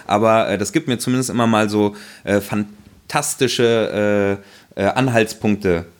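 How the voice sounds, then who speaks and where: A man's voice: lowish voice, moderate pace. A man co-hosting a podcast, talking casually and rambling with lots of fillers and restarts. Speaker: podcast co-host. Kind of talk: casual chat.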